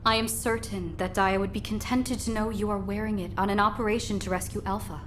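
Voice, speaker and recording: A courteous woman warrior from a video game, voiced by an actress. She speaks formally and reassuringly at a middle pitch, with old-fashioned politeness, over faint noise. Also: fairly even intonation, brisk, a bit bright, lightly acted. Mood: calm, reassuring. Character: courteous, formal, reassuring female comrade in arms. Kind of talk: dramatic video game voice acting.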